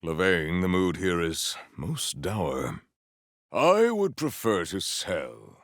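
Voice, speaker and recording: An actor playing a solemn, valiant warrior hero in a video game, speaking in formal, archaic, grandiose phrases. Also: male voice, low voice, very animated, takes it slowly, no echo, clean studio sound, full character voice. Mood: grave, declamatory. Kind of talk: theatrical video game character lines.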